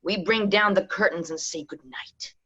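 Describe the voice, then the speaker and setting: A woman reading a calm, menacing female crime boss at a pilot table read, who speaks quietly and slips into threatening whispers. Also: medium pitch, moderate pace, highs cut off.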